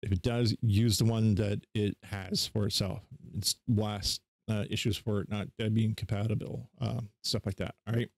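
A man casually talking through software setup steps in an informal, unscripted tutorial style. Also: on the low side, little pitch movement, moderate pace, creaky, few pauses, no room sound. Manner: informal, instructional, step by step. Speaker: tutorial host. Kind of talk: casual unscripted screen-share software walkthrough.

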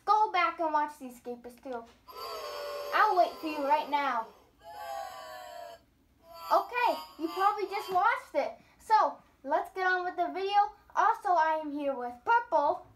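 A young vlog host speaking in a playful, scripted skit style. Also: very high-pitched. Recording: noisy home camera audio.

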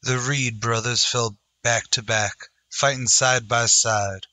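A man's voice: mid-range voice, fairly even intonation, slow pace, pushed and bright, dry recording. A man narrating an adventure story in a dramatic old-time radio style.

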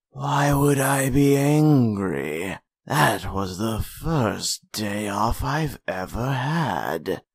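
A man voicing Death as a skeletal reaper in a comic book dub, with a gravelly, raspy voice and a courteous, theatrical manner. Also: on the low side, no echo, studio quality, full character voice. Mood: delighted, relieved. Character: Death, a skeletal reaper, cheerfully well-rested.

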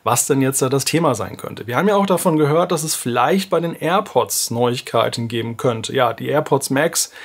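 A man's voice: mid-range voice, expressive, normal speed, hardly any pauses, husky. A man in his forties hosting a casual tech vlog from a home office, chatty and thinking aloud with fillers. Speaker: tech video host. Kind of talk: casual talking-head vlog. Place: home office with desk and monitor. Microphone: camera framed at close head-and-shoulders.

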